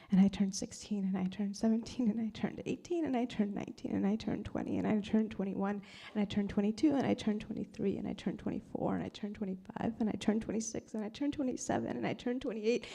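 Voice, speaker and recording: Woman in her thirties, gravelly voice, dramatic poetry reading, whispers to shouts, mixes English and Spanish. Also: medium pitch, steady tone, fairly quick, few pauses. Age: 30s. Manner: dramatic, shifting from whispers to screams. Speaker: poet reading at a live event.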